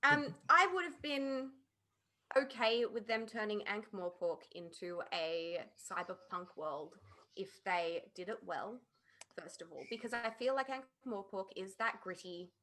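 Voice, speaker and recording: A woman's voice: medium pitch, a little slow, bright, forceful tone. A woman on a podcast panel, giving her opinions in casual discussion of pop culture.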